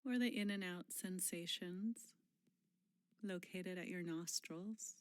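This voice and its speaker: A woman leading a guided mindfulness meditation in a gentle, unhurried manner, pausing often and letting phrase endings fall soft and breathy. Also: fairly low pitch, dry recording, clean studio sound.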